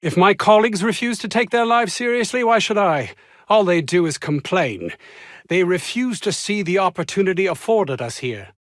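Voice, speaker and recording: Pompous, high-pitched anxious scientist. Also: male voice, expressive, normal speed, no room sound, studio quality. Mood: defensive, indignant. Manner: haughty, fussy, formal old-fashioned diction. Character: pompous, ambitious, anxious male research scientist. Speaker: video game character voice. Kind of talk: theatrical game dialogue.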